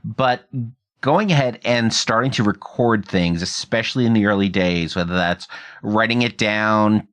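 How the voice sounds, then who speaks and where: A man hosting a conversational interview, asking questions and explaining things in a reflective way. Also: on the low side. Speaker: podcast host interviewing a guest.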